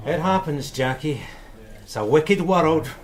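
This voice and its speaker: A man's voice: on the high side, a little slow, close to an everyday voice. A man playing a worldly detective sergeant in a British radio crime drama, informal, dry and knowing. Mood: dry, teasing.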